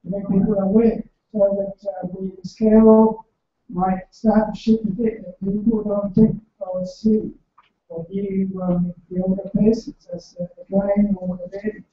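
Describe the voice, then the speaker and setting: A man's voice: high voice, flat delivery, slight background noise. Man from the audience, hesitant and rambling, phone-thin sound, heavy room echo, far from the mic. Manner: halting, many ums and you-knows. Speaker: audience member. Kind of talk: hesitant spontaneous comment. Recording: tinny, very echoey and far off.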